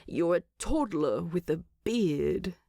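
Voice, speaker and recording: A woman's voice: lowish voice, lively intonation, slow, gravelly, warm tone, dry recording, clean studio sound, full character voice. A woman voicing a grumpy, sleepy, indignant male hobbit who mumbles his complaints in a fantasy storybook. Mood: sleepy, grumbling. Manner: mumbling, muttering, squawking complaints.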